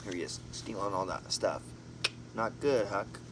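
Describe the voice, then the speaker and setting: A man narrating a storybook as an amateur reader, expressively, in short halting phrases with casual asides. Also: lowish voice. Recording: noisy, distant mic, vehicle-like background hum.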